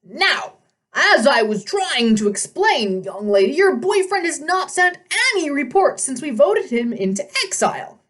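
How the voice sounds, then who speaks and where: Storybook satyr, elderly and male, played by a woman: raised pitch, pompous, indignant, prone to sputtering. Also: big pitch swings, unhurried, a bit bright, far from the mic, exaggerated voice. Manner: huffy, blustering, stammering when flustered.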